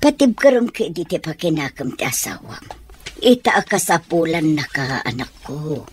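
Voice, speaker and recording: A lower-pitched older Ilocano mother, concerned and gently advising. Her radio drama delivery comes with faint noise. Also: lively intonation, normal speed. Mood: counselling.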